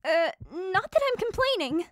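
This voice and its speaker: Woman playing a high-voiced practical healer. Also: sing-song, a little slow, no room sound, studio quality, exaggerated voice. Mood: dryly practical. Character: practical, caring female healer with dry exasperation. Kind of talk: fantasy audio drama acting.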